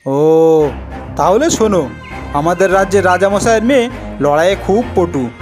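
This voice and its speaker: A woman voicing a matter-of-fact local in a cartoon folk tale, gravely explaining things. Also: fairly low pitch, animated, normal speed, over music, close to an everyday voice. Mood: informative.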